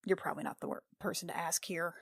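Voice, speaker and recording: A woman playing a tabletop roleplaying game, speaking casually and haltingly as she improvises dialogue. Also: fairly low pitch, fairly even intonation, vocal fry, a bit bright, slightly rough, dry recording, clean studio sound.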